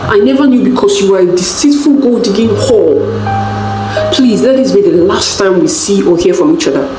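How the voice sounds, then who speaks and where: A woman's voice: medium pitch, animated, normal speed, distant mic, lightly acted. A woman storyteller voicing a furious, betrayed boyfriend, demanding and scornful. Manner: demanding, accusing. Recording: roomy echo, music bed.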